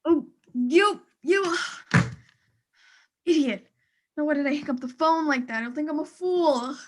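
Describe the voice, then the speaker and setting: A woman's voice: high voice, unhurried. A woman playing a fretful, imperious bedridden wife in a suspense radio play, insistent and agitated.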